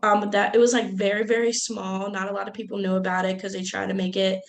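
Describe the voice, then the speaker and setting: A woman's voice: mid-range voice, little pitch movement, fast. A young woman student sharing a story in a class discussion, casual and loose with her phrasing. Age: young adult. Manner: casual, uses "like" and "I guess you could say".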